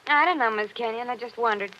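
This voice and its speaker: A nosy, eager young maid speaks in a high, bright, chirpy tone. She sounds like a vintage radio play with dull, lo-fi sound. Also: quick pace, slight background noise. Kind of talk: 1940s radio acting. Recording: dull old radio recording.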